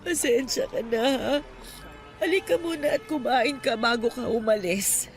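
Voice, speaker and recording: A woman's voice: fairly high pitch, normal speed, soft background music, natural voice. A woman playing a kind, grieving elderly mother in a radio drama, speaking tenderly. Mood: gentle, motherly.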